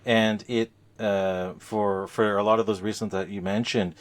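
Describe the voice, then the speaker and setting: Deep voice guy, podcast host, casual chat, kinda thoughtful and halting. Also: little pitch movement.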